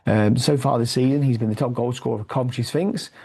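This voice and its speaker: A man's voice: medium pitch, fast talker, mellow. A man who has lost his voice to a cold, reviewing football league news with many fillers and restarts. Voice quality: hoarse, gravelly. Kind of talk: weekly football review, read from notes.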